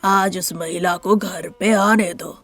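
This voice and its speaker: Lady putting on a stern old dad from a storybook, slow n grave, music behind. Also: on the low side, steady tone, a bit bright. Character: gruff older family patriarch, stern and measured.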